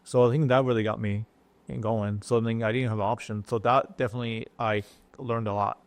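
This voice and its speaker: A middle-aged man from Japan speaks English with a Japanese accent, halting and full of fillers as he explains things in a relaxed interview. His voice sits at an ordinary pitch. The sound is dry and close, with no room echo. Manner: halting, many fillers and restarts. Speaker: chef and guest. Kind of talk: casual interview talk.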